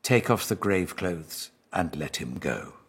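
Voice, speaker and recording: British man as grave, deep-voiced holy teacher. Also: sing-song, a bit bright, husky, full character voice. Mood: commanding. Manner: measured, grave, commanding. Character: calm, authoritative holy male teacher, compassionate.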